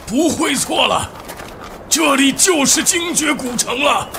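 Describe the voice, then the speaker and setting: A man playing an excitable, absent-minded elderly archaeology professor in an audio drama, with a creaky voice. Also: very high voice, measured pace, background noise, full character voice. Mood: awed, excited. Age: older. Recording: loud music under the dialogue.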